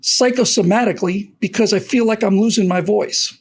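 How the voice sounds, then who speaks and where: A middle-aged man on a casual video call, husky-voiced, halting and earnest, often repeating words. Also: high-pitched. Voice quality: husky, thin voice. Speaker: co-host. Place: home office.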